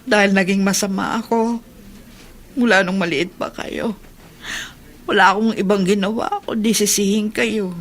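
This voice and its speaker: An actress playing a sickly, remorseful older aunt in a Filipino radio drama, with an emotional, repentant manner. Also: female voice, medium pitch, fairly even intonation, normal speed, pauses often, close to an everyday voice. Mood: bitter guilt.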